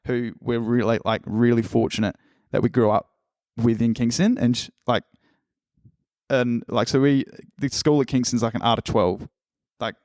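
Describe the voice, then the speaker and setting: A man's voice: medium pitch, steady tone, moderate pace, a little mellow, no room sound, studio quality. A man, hosting a casual podcast chat, rambling with lots of fillers and casual swearing. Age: 20s. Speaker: podcast host.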